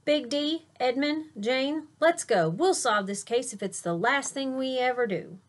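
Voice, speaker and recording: A woman's voice: high-pitched, lively intonation, measured pace, voice pushed up. A woman voicing a bold, eager schoolboy detective in a children's picture book, with dramatic emphasis. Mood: rallying, determined. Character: Tyrone, bold eager schoolboy detective, leader of his posse.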